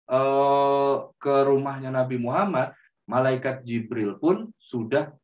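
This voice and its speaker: A man telling a story to children in a gentle, drawn-out way, often turning to them as friends. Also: fairly high pitch. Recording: roomy, distant, dull sound.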